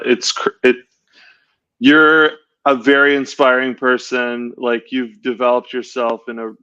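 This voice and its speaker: A man's voice: mid-range voice, sharp tone, clean tone, dry recording. Man, dull lo-fi call audio. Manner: enthusiastic, rambling with many fillers and restarts. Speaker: podcast co-host. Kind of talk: casual podcast chat.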